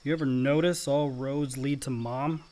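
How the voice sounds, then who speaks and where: An actor playing a caring but troubled grown son, understated and guarded, in a naturalistic radio drama. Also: male voice, medium pitch, fairly even intonation, moderate pace, dark tone, natural voice. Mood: guarded, curious. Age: young adult.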